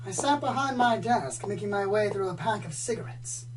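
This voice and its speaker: A woman's voice: mid-range voice, moderate pace, far from the mic, crowd noise, lightly acted, rough recording. A woman playing a pompous, dramatic male pony storyteller who loves noir detectives, florid and self-important, in a comedic radio play. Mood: brooding noir. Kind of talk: comedic radio play, hardboiled narration parody.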